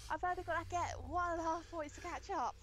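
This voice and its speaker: A woman's voice: extremely high pitch, a little fast, fairly bright tone, slight background noise. A young English woman with a Midlands accent, chatting casually and giggling while running a quiz. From England. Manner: giggly, chatty, rambling explanations. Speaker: quiz co-host.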